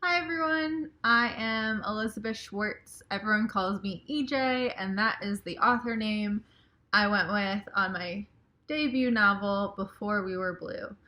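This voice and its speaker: Bright, chatty woman author. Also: medium pitch, unhurried. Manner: chatty and informal. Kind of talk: recorded message to a library audience.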